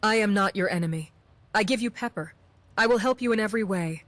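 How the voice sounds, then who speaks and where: A woman's voice: medium pitch, quick pace, a bit bright, no echo, close to an everyday voice. A woman voicing a secretive innkeeper in a modded fantasy game, speaking disjointed lines in an earnest manner.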